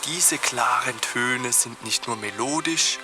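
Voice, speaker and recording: A man voicing a TV nature documentary narrator in a cartoon, in measured narration. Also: medium pitch, over music, lightly acted. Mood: solemn.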